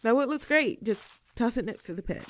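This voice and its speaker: A woman's voice: fairly high pitch, animated, brisk, a little hiss or hum, lightly acted, rough recording. A woman playing a young, outdoorsy tomboy friend in an audio roleplay, chatting casually in an upbeat, caring and reassuring way. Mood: cheerful, warm. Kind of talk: roleplay audio acting, casual intimate chat.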